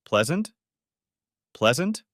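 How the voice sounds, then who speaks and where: A man's voice: fairly high pitch, big pitch swings, slow pace, fairly bright tone, dry recording, studio quality, voice pushed up, full character voice. A man voicing a blunt, demanding woman in an audio story, emphatic and no-nonsense. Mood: teasing indignation. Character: blunt, demanding woman, the narrator's new partner.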